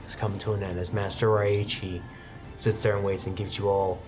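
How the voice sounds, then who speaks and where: A man's voice: on the low side, little pitch movement, normal speed, over music. A man running a tabletop game, narrating dramatically with casual, improvised asides. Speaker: game master.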